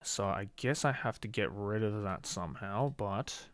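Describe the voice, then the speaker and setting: A man streaming casually as he learns a board game, hesitant and self-deprecating, quick to laugh. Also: lowish voice, clean tone, dry recording.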